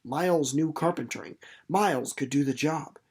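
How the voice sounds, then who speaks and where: A narrator reading a novel aloud as scripted literary narration, in a dramatic storytelling manner that slows and softens for suspense. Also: medium pitch, husky.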